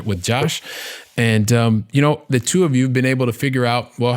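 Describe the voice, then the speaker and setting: Man, lowish voice, podcast host, conversational interview, wordy stop-start phrasing. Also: clean tone. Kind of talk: upbeat conversational interview hosting.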